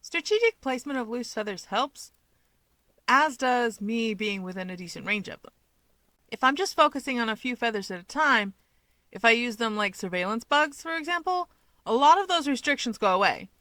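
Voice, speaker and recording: A woman's voice: a little high, animated, normal speed, sharp tone, no echo. A woman voicing an upbeat, self-assured young male hero with wings, explaining things chattily in an audio story. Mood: eager, explaining. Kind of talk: fan fiction audiobook character dialogue.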